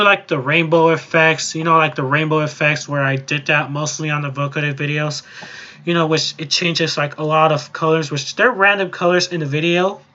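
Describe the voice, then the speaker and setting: A man hosting a casual software tutorial, explaining in a rambling, repetitive way. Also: fairly high pitch, lo-fi sound. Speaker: tutorial host.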